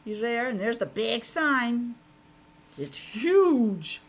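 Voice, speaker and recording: A woman teacher reading and explaining a storybook to young children in an expressive, child-directed way. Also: on the high side, drawn-out words. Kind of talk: storybook narration with simple explanations.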